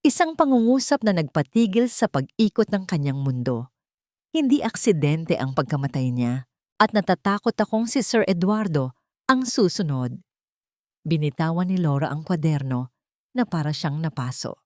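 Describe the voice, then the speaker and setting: Woman, audio story narrator, dramatic delivery, low voice, dry close mic. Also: moderate pace, no echo. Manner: dramatic, expressive reading.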